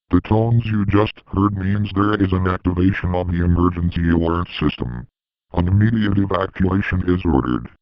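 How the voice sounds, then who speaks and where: A man's voice: low-pitched, smooth, no echo, lightly acted. A man playing a police chief, reading a formal scripted emergency announcement in a dull lo-fi recording. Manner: official, formal. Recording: dull, dry lo-fi audio.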